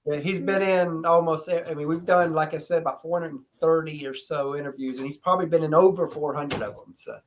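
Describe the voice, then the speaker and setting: A man's voice: a little high, steady tone, brisk, hardly any pauses, a crowd in the background. A man hosting a talk show, asking questions in a casual, conversational style. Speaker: talk show host, interviewer. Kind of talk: casual interview chat.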